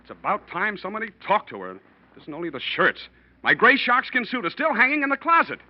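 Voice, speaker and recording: Fussy, high-pitched irritable husband. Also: male voice, big pitch swings, fast talker. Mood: irritated. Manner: exacting, peevish, clipped. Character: fussy, irritable, methodical newspaper columnist husband. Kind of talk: 1940s radio acting. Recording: dull old radio recording.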